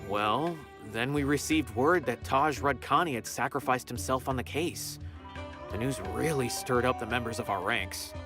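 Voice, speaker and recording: A man's voice: medium pitch, moderate pace, over music, close to an everyday voice. A man playing a friendly, dutiful young law officer in an anime game, explaining things earnestly. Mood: earnest, impressed.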